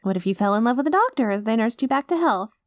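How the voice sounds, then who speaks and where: A woman's voice: on the high side, animated, fast talker, no echo, natural voice. A woman acting an anxious, clingy, doting girlfriend with playful menace, in an intimate, emotive audio roleplay. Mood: playfully possessive. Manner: emotive, flustered, affectionate, teasing.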